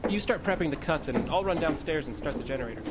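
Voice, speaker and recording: A man's voice: on the high side, expressive, fast talker, very noisy, natural voice. A man playing a practical, laid-back station technician in an audio drama, matter-of-fact and dry.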